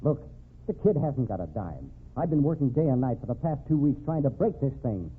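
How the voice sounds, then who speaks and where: A combative, impassioned criminal lawyer in a vintage radio play. He argues bluntly in a husky, mid-pitched voice with lively swings, on a thin, phone-like old recording. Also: a little fast. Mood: pleading, indignant. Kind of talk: old-time radio drama acting.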